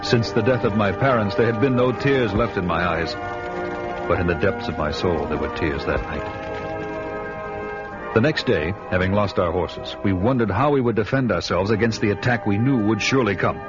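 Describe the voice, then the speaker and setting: A man's voice: fairly low pitch, normal speed, close to an everyday voice. Man playing a grim, solemn barbarian warrior, muffled radio sound. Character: grim, vengeful barbarian warrior telling his own saga. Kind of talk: dramatic first-person radio narration and acting. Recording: dull lo-fi radio recording, loud music behind.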